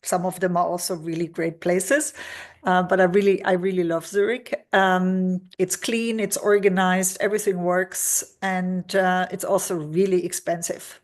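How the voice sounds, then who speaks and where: A woman's voice: fairly low pitch, flat delivery, measured pace. German woman, career adviser on a call. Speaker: career expert guest. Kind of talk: interview answers.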